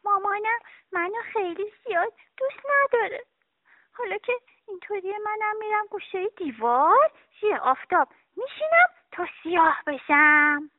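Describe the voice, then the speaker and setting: A woman's voice: extremely high pitch, no room sound, pitched above the performer's own voice. A woman voicing a sulky, tearful little girl in a children's story, with a whiny, pouting delivery. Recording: tinny phone-like dry sound.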